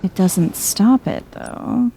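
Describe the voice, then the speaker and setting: Female gameplay streamer, ASMR-style: soft, unhurried, mellow delivery, casual and chill, faint noise behind her. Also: medium pitch. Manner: chill, murmuring to herself. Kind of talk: soft-spoken casual game commentary, ASMR vibe.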